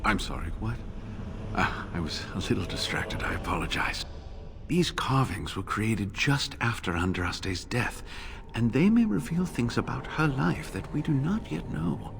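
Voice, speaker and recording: An actor playing a gentle, absent-minded scholar in a fantasy game, musing politely and hesitantly. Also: male voice, on the low side, big pitch swings, unhurried, heavily acted. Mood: distracted, apologetic. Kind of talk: fantasy game dialogue acting. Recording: clear background ambience noise.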